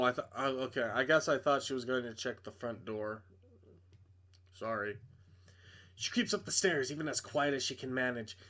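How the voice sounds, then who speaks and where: A man's voice: mid-range voice, fairly bright tone, slightly rough. A man narrating a visual novel aloud in a dramatic read-aloud style as he plays it. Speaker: video game player and narrator.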